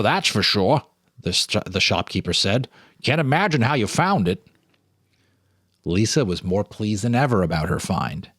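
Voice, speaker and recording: Male storyteller with a lowish voice, deliberate and dramatic for ghost tales, recorded dry. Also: no echo. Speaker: host and narrator reading a story. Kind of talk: dramatic ghost story reading with casual asides. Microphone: speaking to camera, reading off a teleprompter.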